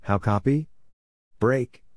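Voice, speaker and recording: A man reading scripted radio practice messages in short, separated, deliberate phrases, like an instructor. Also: medium pitch, dry recording, studio quality. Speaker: amateur radio Morse code practice narrator.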